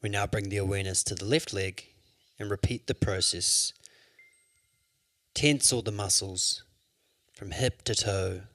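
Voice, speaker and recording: A man leading a guided yoga nidra meditation as an instructor, with soothing, measured instructions. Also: fairly low pitch, steady tone, bright, forceful tone, no echo.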